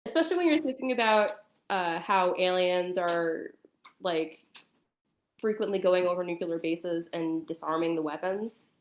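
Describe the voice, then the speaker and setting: Casting: woman, lower register, halting conversational explainer, echoey room sound. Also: slight background noise. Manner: hesitant, halting delivery. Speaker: guest on a talk stream. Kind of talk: casual explanatory chat. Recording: roomy, echoing sound.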